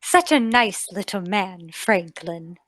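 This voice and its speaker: Casting: actress for tender manor lady in radio drama; slow, refined, expressive speech, dry studio-like sound. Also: medium pitch, dry recording. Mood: gracious. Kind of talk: radio play table read.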